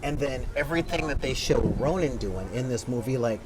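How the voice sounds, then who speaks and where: A man hosting a casual film panel, talking in an animated way with quick asides. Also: mid-range voice, a loud crowd. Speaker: panel host. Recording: noisy outdoor recording with wind.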